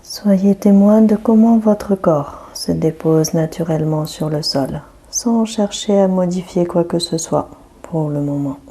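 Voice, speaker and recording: Very slow, mellow, breathy woman guiding relaxation. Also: fairly low pitch. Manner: calm, gentle, unhurried instruction with long pauses. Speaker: yoga nidra guide. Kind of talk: guided relaxation meditation.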